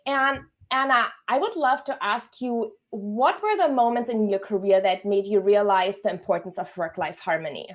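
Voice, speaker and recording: A woman's voice: mid-range voice, normal speed, few pauses. A woman from Germany, a career coach, hosting a live-streamed interview with an upbeat, welcoming manner. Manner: upbeat, welcoming, scripted-sounding intro.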